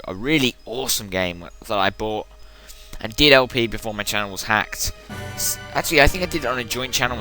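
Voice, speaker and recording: A young man giving rambling, casual commentary while playing a video game. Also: lowish voice. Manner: chatty, rambling, self-interrupting. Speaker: let's play gamer. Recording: game music loud behind the voice. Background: game music.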